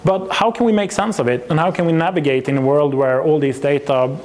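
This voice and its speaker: A man's voice: on the high side, fairly quick, a little mellow. A man in his thirties with a Swedish accent, a native Swedish speaker, giving a chatty, self-deprecating conference presentation on a lapel mic, with frequent ums and restarts. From Sweden.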